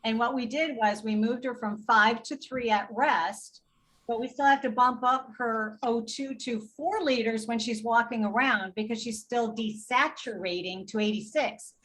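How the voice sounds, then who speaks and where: A woman guest on a podcast interview, telling an animated story in quick bursts of detail and acting out what others said. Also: a little high, low-quality recording. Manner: animated, re-enacts dialogue while telling a story. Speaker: healthcare advocate guest.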